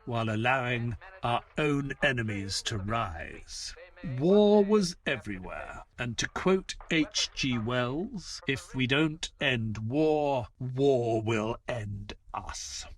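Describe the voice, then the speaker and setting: Measured male chronicler of grim wartime crimes actor, audio drama, dramatic and widely varied in pitch. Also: expressive. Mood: grave, reflective. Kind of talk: scripted audio drama narration.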